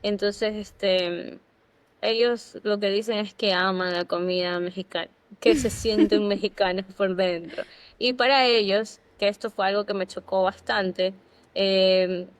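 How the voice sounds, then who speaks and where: A woman's voice: mid-range voice, measured pace, no echo. A woman from Ecuador co-hosting a podcast, telling personal anecdotes in a casual way. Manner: animated anecdotes with fillers. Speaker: podcast co-host. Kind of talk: casual storytelling.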